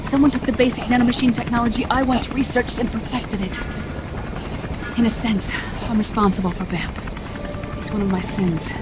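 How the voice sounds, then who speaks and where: A woman's voice: fairly high pitch, fairly even intonation, moderate pace, close to an everyday voice, poor audio. An actress playing a guilt-ridden female scientist and medic in a video game cutscene, explaining earnestly and urgently. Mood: earnest, remorseful. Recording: game cutscene over loud orchestral score. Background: loud music.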